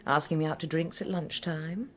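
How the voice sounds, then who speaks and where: An actress playing a confiding, regretful Englishwoman in a radio drama, speaking in a measured way with hesitant pauses. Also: deep voice, a bit bright. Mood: guarded, candid. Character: adult female office colleague, confiding, regretful, gently forward. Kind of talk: naturalistic British radio drama acting.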